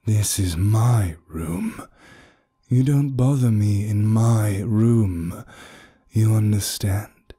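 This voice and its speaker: A man voicing a gruff, surly woman who guards her own room. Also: medium pitch, drawn-out words, mellow, exaggerated voice. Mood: snappish.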